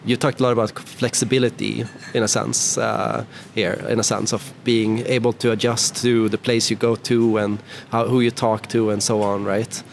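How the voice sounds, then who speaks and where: Host, Swedish-native man, lowish voice, loose and chatty, faint noise. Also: level tone, normal speed, gravelly, hardly any pauses. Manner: casual, many fillers like "you know" and "right". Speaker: host, interviewer. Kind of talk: conversational interview.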